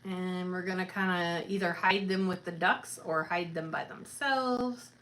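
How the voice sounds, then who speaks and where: A woman in her thirties co-hosting a cruise livestream, chatting in a relaxed, matter-of-fact way and playfully pushing back on her friend. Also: fairly low pitch, a little slow. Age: 30s. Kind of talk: casual livestream chat.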